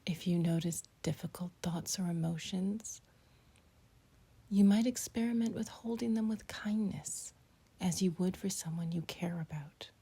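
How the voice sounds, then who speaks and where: A woman leading a scripted guided meditation in a gentle, breathy near-whisper, speaking in short phrases with long pauses. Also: fairly low pitch.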